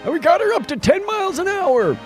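A man's voice: very high-pitched, very animated, a little fast, faint music behind. A man co-hosting a podcast, bantering casually and reading out segments in a playful, theatrical way. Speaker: podcast co-host.

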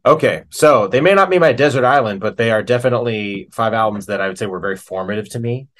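A man's voice: on the low side, quick pace, a bit bright. A middle-aged man chatting casually as an interview guest, telling stories in an enthusiastic, informal, slangy way.

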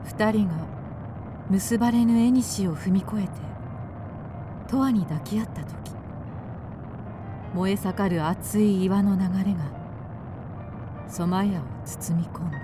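A woman's voice: medium pitch, a little slow, long pauses, over music. A woman giving dramatic scripted narration for a radio drama.

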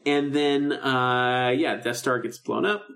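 A man's voice: mid-range voice, fairly even intonation, slow, creaky, a bit bright. A man co-hosting a podcast, recapping a story in casual, enthusiastic fan talk. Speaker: podcast co-host. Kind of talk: casual chat, recapping a radio drama.

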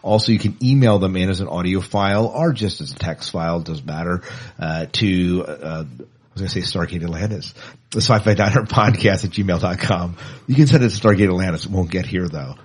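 A man's voice: low voice, a little fast, husky. A man hosting a podcast in a casual, chatty, informal way, often repeating himself. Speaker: podcast host.

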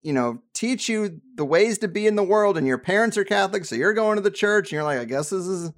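A man's voice: sits high, lively intonation, fast talker. A man hosting a podcast interview, casual and blunt, swearing freely as he talks. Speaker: podcast host.

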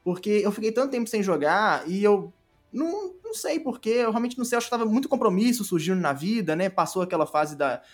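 A young Brazilian man chatting casually as an interview guest, informal and halting, often repeating words. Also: high-pitched, animated, faint music behind. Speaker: podcast guest, audio drama creator.

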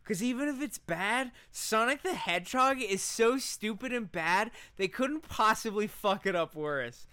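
A man with a clean, smooth voice that has a bright edge. He chats as a podcast co-host, giving opinions in informal, slangy language. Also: sits high, animated, measured pace, few pauses, dry recording. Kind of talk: casual podcast chat.

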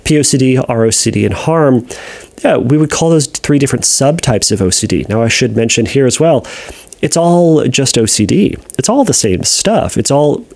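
A man's voice: mid-range voice, expressive, normal speed, darkish tone. A man working as a therapist, answering listener questions on a podcast in a hesitant way, with many fillers and restarts. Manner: reads listener letters aloud.

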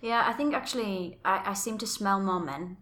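Bright-voiced woman podcast co-host. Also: mid-range voice, moderate pace. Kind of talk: casual chat.

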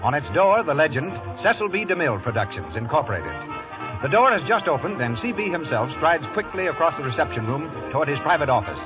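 Brisk man narrating over music. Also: fairly high pitch, sing-song. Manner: brisk, dramatic. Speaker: announcer. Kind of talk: scene-setting radio narration. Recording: dull 1930s radio broadcast with music.

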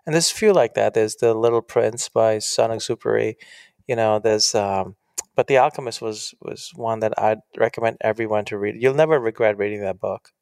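Man, author guest, earnest, animated, gravelly, dry recording. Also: lowish voice, normal speed, no room sound. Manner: earnest, animated, self-interrupting. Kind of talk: casual interview chat.

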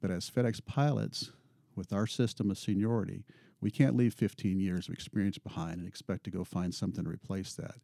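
Man, 50s, dark mellow tone, dry close sound, earnest interview answers. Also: deep voice, steady tone, a little fast, vocal fry, dry recording. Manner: earnest, repeats words when thinking. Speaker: pilot union rep.

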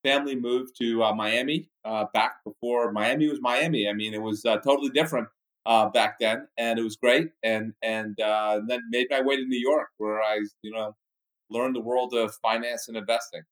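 A man in his fifties from the Bahamas, a business executive guest answering interview questions in a halting way with many restarts. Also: mid-range voice, fairly even intonation, fairly bright tone, poor audio.

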